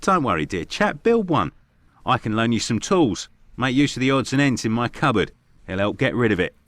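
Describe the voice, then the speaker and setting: Measured Victorian doctor, closely recorded. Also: male voice, medium pitch, very animated, fairly bright tone, no echo. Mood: frank, reasonable. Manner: matter-of-fact, formal. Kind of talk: video game voice acting.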